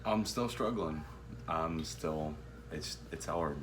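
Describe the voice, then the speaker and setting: A man's voice: a bass voice, takes it slowly. A man in his thirties chatting casually and playfully as co-host, recorded on a phone in a home kitchen. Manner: playful, animated. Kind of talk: casual chat, comic storytelling. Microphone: phone at arm's length, behind host. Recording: clear background noise, home phone recording.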